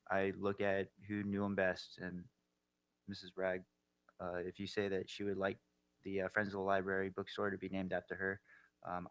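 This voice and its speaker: Man chairing a council meeting, lowish bright voice, procedural and plain. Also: clear, resonant tone, no echo.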